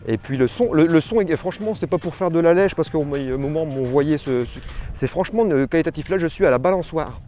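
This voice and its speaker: A man's voice: fairly high pitch, fast, hardly any pauses, dark tone. Casual man over background music. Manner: hesitant, informal, repeats himself. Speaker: video host. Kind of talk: casual unscripted product demo chat.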